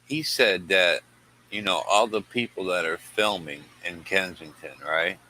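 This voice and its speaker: A man's voice: low voice, a little slow, pushed and bright, slight background noise, rough recording. A man chatting informally as a guest on a live stream, often repeating himself. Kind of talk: casual chat.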